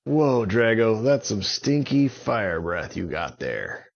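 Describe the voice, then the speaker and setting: A man's voice: on the low side, big pitch swings, slow pace, vocal fry, highs cut off, full character voice. A man voicing a kind, helpful young hero in a children's story, earnest and encouraging. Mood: friendly, curious. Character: kind, helpful young male hero calming his friend.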